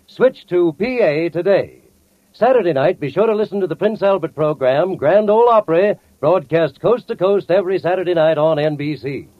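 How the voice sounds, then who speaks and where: A man's voice: high voice, animated, normal speed, no echo. A man reading a scripted advertisement in 1940s radio announcing style. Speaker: commercial announcer. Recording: tinny, narrow 1940s radio sound.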